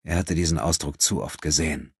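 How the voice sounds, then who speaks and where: Ein Mann, der als Hörbucherzähler einen literarischen Text dramatisch vorliest. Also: male voice, extremely low pitch, steady tone, brisk, darkish tone, husky, no echo, studio quality. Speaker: audiobook narrator. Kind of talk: dramatic scripted literary narration.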